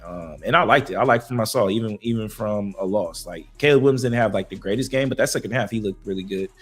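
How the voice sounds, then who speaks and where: A man co-hosting a podcast, talking sports in a loose, slangy way with a voice that is going hoarse. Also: lowish voice, fast talker. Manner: loose, slangy, animated banter with fillers. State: losing his voice. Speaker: podcast co-host. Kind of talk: casual sports talk.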